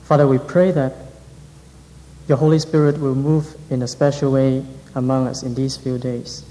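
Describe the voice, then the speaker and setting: A man leading a congregation in earnest, measured prayer. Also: medium pitch, level tone, mellow. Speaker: interpreter and prayer leader. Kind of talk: interpreting a sermon, then leading prayer. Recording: reverberant hall.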